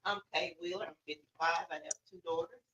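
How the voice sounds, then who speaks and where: A woman's voice: lowish voice, fairly even intonation, fast talker, sharp tone, breathy edge, low-quality recording. A woman giving a short, simple self-introduction as a panel guest.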